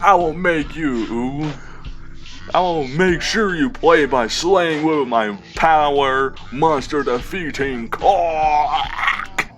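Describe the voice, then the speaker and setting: A man playing a pompous monster hunter in a story reading, declaiming with hammy menace and drawn-out words. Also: fairly high pitch. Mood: menacing, triumphant. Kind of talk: amateur character voice in a story reading.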